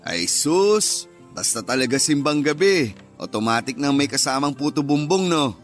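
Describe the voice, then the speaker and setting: A man acting a gentle, caring Filipino husband and father in a radio drama. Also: fairly high pitch, animated, moderate pace, close to an everyday voice. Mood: light, fond. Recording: music bed under the voice.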